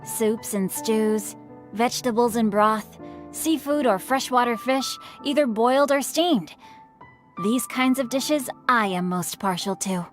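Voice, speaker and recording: A man's voice: very high-pitched, unhurried, a bit bright. A man voicing a refined, bookish young nobleman who talks with courtly eloquence in a game cutscene. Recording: game cutscene with faint music.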